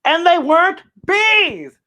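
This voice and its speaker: Guy doing an exasperated little storybook dog, high voice, protesting and bossy, swoops around. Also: very animated, slow pace, sharp tone, full character voice. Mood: indignant. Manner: emphatic, protesting, scolding. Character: exasperated, know-it-all pet latke dog.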